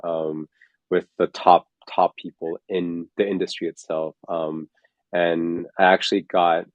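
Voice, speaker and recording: A man's voice: deep voice, little pitch movement, a little slow, no room sound, muffled recording. A man in his forties, a fitness coach, talking in a casual chat, rambling with lots of fillers and restarts. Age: 40s.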